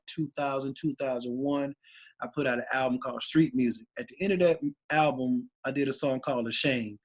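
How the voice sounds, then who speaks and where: A man, a rapper guest, talking casually and excitedly in an interview, rambling with many restarts. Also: medium pitch. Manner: animated, rambling, voice gets raspy when excited.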